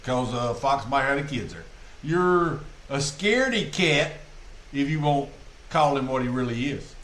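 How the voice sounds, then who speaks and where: A man's voice: mid-range voice, animated, a little slow, a bit bright. Crude, mocking male streamer. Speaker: livestream host. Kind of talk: casual livestream commentary. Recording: roomy echo.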